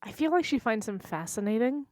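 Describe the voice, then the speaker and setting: A woman's voice: fairly high pitch, expressive, fairly quick, darkish tone, no room sound, studio quality. A woman co-hosting a podcast, chatting about fiction in an informal, loosely phrased way. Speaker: podcast host. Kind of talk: casual chat about fiction.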